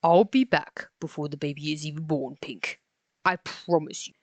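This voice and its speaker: A woman's voice: low voice, normal speed, dry recording, natural voice. A woman playing a tender, resolute male monster in an earnest, solemn amateur audio romance drama. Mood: tender, reassuring.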